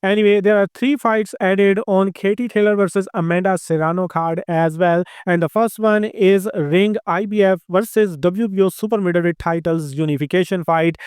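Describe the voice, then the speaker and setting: A man with an Indian accent hosting a combat-sports news roundup, briskly reading lists of names and records. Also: high-pitched, clean tone, dry recording, clean studio sound. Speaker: host.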